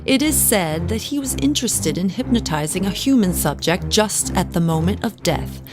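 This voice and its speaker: A woman playing a composed young widow in an audio drama, telling her story in measured, formal, confiding words. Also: mid-range voice, lively intonation, close to an everyday voice. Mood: composed, wary. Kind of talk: audio drama first-person narration. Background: music.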